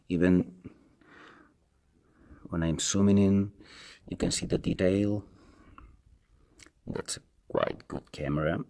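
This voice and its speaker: A man with a Spanish accent and Spanish as his first language, giving a casual, unscripted product review in a halting, hesitant way and repeating himself. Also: low-pitched, a little mellow. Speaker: tech reviewer, video host.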